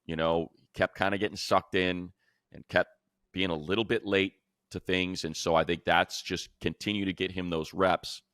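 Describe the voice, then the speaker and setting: Deep-voiced American man talking sports. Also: a bit bright, no room sound, clean studio sound. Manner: emphatic, colloquial, acts out imagined dialogue. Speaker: sports podcast co-host and play-by-play announcer. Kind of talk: unscripted sports analysis.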